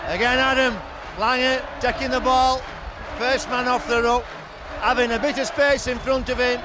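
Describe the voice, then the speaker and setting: A man breaking down rugby league plays as the co-commentator, with a very high voice. A loud crowd and clear hiss fill the archive broadcast around him. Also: level tone, normal speed. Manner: explains plays in detail. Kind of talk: analytical rugby match commentary.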